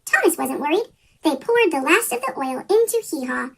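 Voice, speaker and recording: A children's story reader with a pitch-shifted chipmunk voice, reading a picture book aloud from a script. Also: big pitch swings, normal speed, gravelly. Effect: sped-up chipmunk pitch shift.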